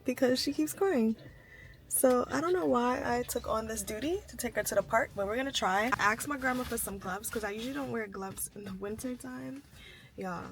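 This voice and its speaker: A young woman with a raspy voice, chatting casually to her camera while vlogging, thinking aloud and poking fun at herself. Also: fairly high pitch, brisk. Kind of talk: casual vlog talk to camera. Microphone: handheld pocket camera mic. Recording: noisy handheld camera audio.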